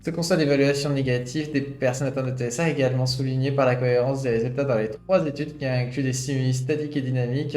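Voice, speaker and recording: A man in his late twenties streaming, reading a study aloud and adding his own reflective commentary full of fillers. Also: medium pitch. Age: late 20s. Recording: roomy echo with faint guitar music.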